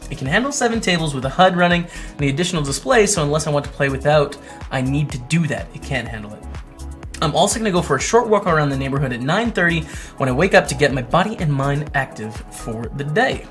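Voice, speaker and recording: A man's voice: on the high side, big pitch swings, fast talker, a bit bright, faint music behind. A man hosting a casual vlog, reading written posts aloud and adding wry, chatty asides as he goes. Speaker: host, poker streamer. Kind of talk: casual commentary while reading old blog posts aloud.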